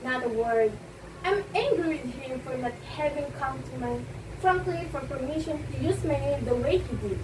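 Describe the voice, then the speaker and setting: A distant, noisy recording carries a high female voice. It is a woman acting a self-possessed stage actress in a play, worldly, teasing and condescending. Her emphasis is grand and theatrical. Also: animated. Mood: condescending, advising. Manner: theatrical, lofty, drawn-out emphasis. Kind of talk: amateur one-act stage play acting. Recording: echoey, distant room recording with loud noise.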